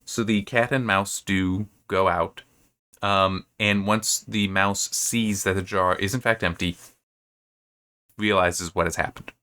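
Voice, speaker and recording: Male, lower-pitched voice, informal book commentator who thinks out loud and wanders. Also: fairly even intonation, slow. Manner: rambling, thinking aloud. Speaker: host reviewing assigned reading.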